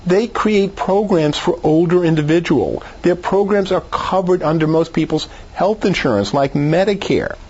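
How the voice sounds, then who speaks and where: Guy doctor on an interview, raspy, pushing his advice hard, kinda muffled audio. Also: high voice, lively intonation, normal speed, hardly any pauses, darkish tone. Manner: emphatic, persuasive, rhetorical questions. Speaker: doctor, expert guest. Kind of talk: media interview, conversational health advice.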